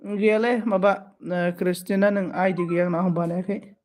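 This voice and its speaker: Guy doing a live stream, high voice, kinda flat, thanks everyone over and over. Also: moderate pace, slight background noise. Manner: switches between Bodo, Hindi and English phrases; repetitive thanks and requests for support. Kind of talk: casual live stream chat with viewers.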